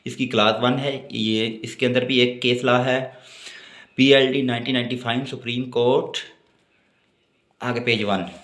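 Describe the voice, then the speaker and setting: A man with a Pakistani accent lecturing on notes, reading aloud haltingly and then explaining. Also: medium pitch, steady tone, a bit bright. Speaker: law lecturer.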